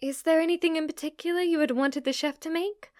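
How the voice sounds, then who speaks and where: A woman's voice: very high-pitched, steady tone, fast, darkish tone, dry recording, clean studio sound, pitched above the performer's own voice. A woman playing an obsessively devoted, submissive maid in an ASMR roleplay, speaking formally, reverently and flirtatiously to her master. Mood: apologetic, eager servant.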